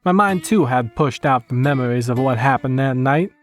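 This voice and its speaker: A man's voice: medium pitch, animated, moderate pace, faint music behind, natural voice. A man voicing a haunted Old West townsman with a Louisiana accent, narrating a horror story in a solemn, old-fashioned way. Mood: haunted, ominous. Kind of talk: scripted first-person horror narration.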